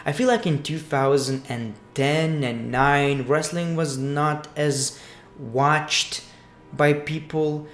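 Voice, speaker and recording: A man's voice: a little high, fairly even intonation, slow. A man from Lithuania with a Lithuanian accent, giving casual, opinionated wrestling commentary in a blunt, crudely joking way. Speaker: wrestling news vlogger. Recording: echoey room with music underneath.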